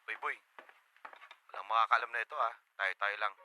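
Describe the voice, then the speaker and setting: An actor playing a secretive, sweet-talking boyfriend in a Tagalog radio drama, hesitant and placating. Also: male voice, on the low side, brisk, pushed and bright, a little hiss or hum. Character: evasive, secretive, sweet-talking boyfriend who lavishes gifts.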